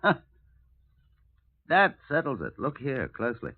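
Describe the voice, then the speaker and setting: An actor playing a wry, genial British police superintendent in clipped 1950s radio drama style, on a vintage recording. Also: male voice, medium pitch, wildly swooping pitch, a little slow, exaggerated voice. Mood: intent, curious. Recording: tinny, narrow-band vintage radio recording.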